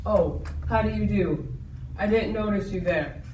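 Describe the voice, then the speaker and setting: A man's voice: sits high, measured pace, a little mellow. A man acting a pompous, scheming government land inspector in an amateur stage play. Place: indoor school stage. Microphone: distant audience camera. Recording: echoey school stage from far off, noisy.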